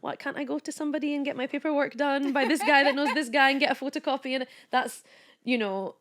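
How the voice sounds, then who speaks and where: A woman's voice: high-pitched, fast talker. Chatty woman in her late thirties. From Kuwait. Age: late 30s. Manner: chatty and animated, many "like"s and restarts. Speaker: podcast guest, wellness coach. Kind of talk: casual chat.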